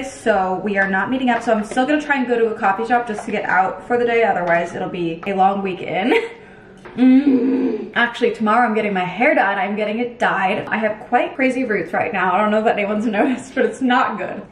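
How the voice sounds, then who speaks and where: A woman's voice: medium pitch, echoey room, a little hiss or hum. A young woman in her twenties chatting casually in a vlog, bubbly and gushing, filmed on a handheld or tripod camera at home and out and about. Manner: bubbly, gushing, lots of "like" and "so cute". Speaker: vlogger. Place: home kitchen and outdoor canyon town.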